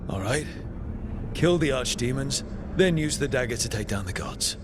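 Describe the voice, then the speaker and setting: A man's voice: medium pitch, animated, normal speed, background noise, lightly acted. A man playing a resolute, wry squad leader in a video game, speaking in a direct, dry, determined way. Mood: businesslike. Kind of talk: naturalistic video game acting.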